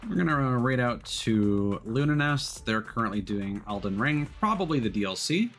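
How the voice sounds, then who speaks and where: A man's voice: medium pitch, lively intonation, moderate pace, a bit bright, soft background music. A man livestreaming a video game, chatting casually and commenting as he plays.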